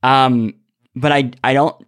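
A man co-hosting a podcast in casual discussion, thinking aloud with animated enthusiasm. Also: medium pitch, takes it slowly, clean tone. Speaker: podcast co-host.

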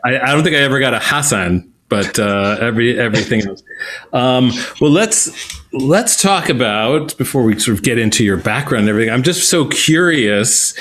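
A man hosting a podcast, chatting casually with many fillers and reading an ad in a relaxed way. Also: medium pitch, moderate pace. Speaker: podcast host. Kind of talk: casual podcast chat and host-read ad.

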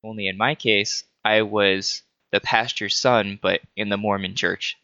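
A man who is a pastor's son calmly reading his personal story as a listener. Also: lowish voice, measured pace, smooth, dry recording, muffled recording. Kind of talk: read personal testimony.

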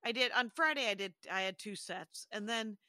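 A woman's voice: medium pitch, moderate pace, sharp tone, no echo. A woman co-hosting a podcast, telling stories in a rambling, self-interrupting way with frequent laughs. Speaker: podcast co-host. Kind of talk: casual chat.

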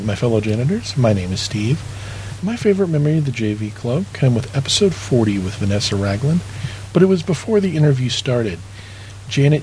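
A man's voice: mid-range voice, a little mellow, low-quality recording. Sincere man, faint background noise. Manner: earnest, sincere. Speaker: podcast listener leaving a recorded message. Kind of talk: personal spoken message.

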